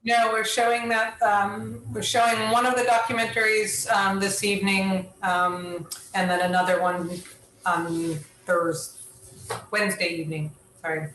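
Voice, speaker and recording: Bright, distant woman organizer. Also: mid-range voice, flat delivery, unhurried, echoey room, a little hiss or hum. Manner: brief, apologetic clarification with fillers. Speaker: event organizer.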